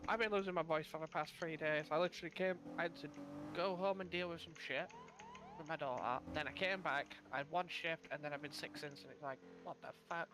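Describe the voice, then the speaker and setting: Higher-pitched man over clear vehicle noise. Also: fairly quick. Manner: casually sweary. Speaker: field training officer in a police roleplay. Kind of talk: casual in-character roleplay chat.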